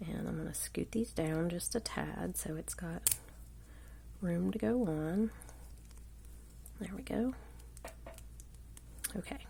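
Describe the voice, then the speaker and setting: Congested woman craft host, low gravelly voice, rambling, faint hiss behind. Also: takes it slowly, long pauses, dark tone. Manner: chatty, rambling, often drops to a murmur. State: congested with a cold. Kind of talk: casual live craft tutorial. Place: indoors at a craft table. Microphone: overhead camera on hands at a table.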